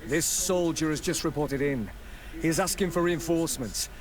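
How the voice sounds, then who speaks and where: An actor playing a dutiful lieutenant in a video game, speaking formally and with deference. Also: male voice, fairly high pitch, normal speed, crowd noise, close to an everyday voice. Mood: reporting. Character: dutiful, courteous male lieutenant serving nobility. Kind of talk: video game dialogue acting.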